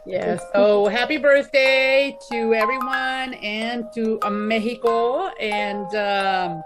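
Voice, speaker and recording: A woman's voice: a little high, takes it slowly, over music. A woman hosting a podcast, chatting casually over a video call, laughing often and reading from a list. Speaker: podcast host. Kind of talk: casual chat.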